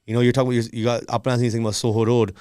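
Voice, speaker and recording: A man talks at a brisk clip in a clear, resonant voice, close and dry on the mic. He tells stories casually and informally, like a guest on a podcast. His delivery is animated and loosely strung together with conversational tags. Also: mid-range voice, dry recording. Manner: animated, informal, peppers speech with "like" and "you know". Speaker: podcast guest, music producer.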